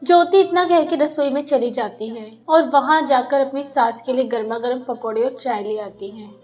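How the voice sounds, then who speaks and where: High-pitched woman narrating a story. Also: normal speed, a little mellow, soft background music. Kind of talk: scripted narration of a moral family story.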